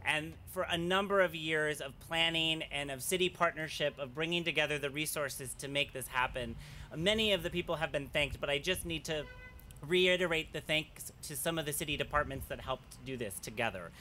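A man in his thirties, an arts official, giving an earnest, slightly halting speech of thanks at an outdoor podium. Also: a little high, bright, forceful tone, a little hiss or hum. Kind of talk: ceremony speech with thank-yous. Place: outdoor plaza at a groundbreaking. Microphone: podium mics.

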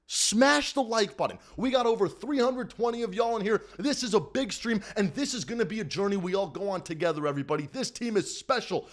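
A young man in his twenties hosting a live-stream postgame recap from a small room at home, hyped and repetitive, often calling out to everybody in the chat. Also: high-pitched. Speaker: sports fan streamer host. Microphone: desk mic in front of the face.

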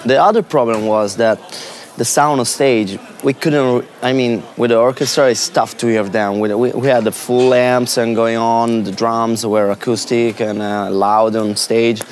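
A man, a band musician, speaking slowly and haltingly in a backstage interview indoors, repeating words. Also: mid-range voice, fairly even intonation.

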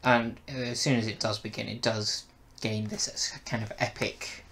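A man's voice: on the low side, little pitch movement, normal speed, fairly bright tone. A young man in a casual, conversational review chat, with a wry manner. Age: young adult. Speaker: podcast reviewer and co-host. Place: bedroom, indoors. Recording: noisy home room recording.

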